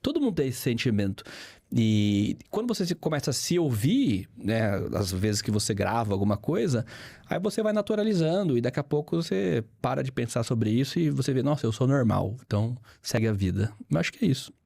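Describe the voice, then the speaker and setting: Brazilian male teacher, fifty, conversational and didactic, mid-pitched, dry recording. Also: sing-song, brisk, gravelly, warm tone, no room sound. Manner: didactic, explains with examples and repetition. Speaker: guest, public speaking teacher. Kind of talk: conversational interview, explaining.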